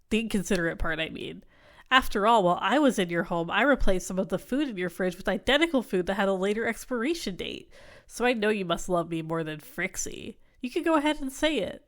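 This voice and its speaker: A woman's voice: a little high, fairly bright tone. A woman playing a jealous, obsessive neko in an ASMR roleplay, smug and catty, sweetly possessive. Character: jealous, snide, obsessive cat person rival.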